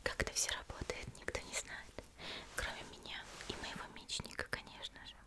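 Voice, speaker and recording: Asmr roleplay, girl acting as a tiny elegant lady demon slayer, all whispered, soft n reassuring, takes her time. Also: normal speed, close to an everyday voice. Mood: calm, confiding. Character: petite, gentle, elegant female demon slayer caring for the wounded.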